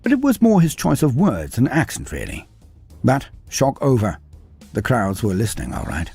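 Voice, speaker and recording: Gravelly dramatic male storyteller. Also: medium pitch, huge pitch swings, normal speed, warm tone, no room sound. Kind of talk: scripted narration of a fan story. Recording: dry close mic with faint music.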